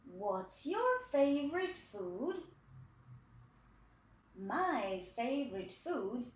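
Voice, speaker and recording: Slow, lively-voiced woman teacher. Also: high-pitched, reverberant. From Poland. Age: 20s. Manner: patient, repeats phrases for pupils to copy. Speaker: English teacher for young children. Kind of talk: slow, clearly spoken video lesson for kids.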